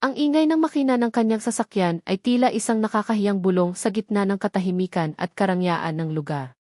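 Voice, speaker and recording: A woman's voice: medium pitch, fairly quick, dark tone, dry recording, clean studio sound. A woman with a Filipino accent reading a scripted, dramatic story as its narrator.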